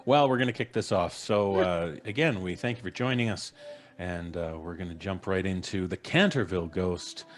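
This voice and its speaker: A man's voice: sits low, moderate pace. A middle-aged man, casually hosting a live podcast show from a home studio. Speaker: podcast host. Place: home studio, video call. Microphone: microphone on boom arm, headphones.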